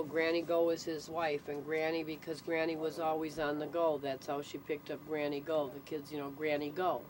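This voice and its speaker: A woman explaining things in a casual, conversational way, with firm opinions of her own. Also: sits low. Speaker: interviewee explaining local nicknames. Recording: noisy ambient recording with vehicle sounds.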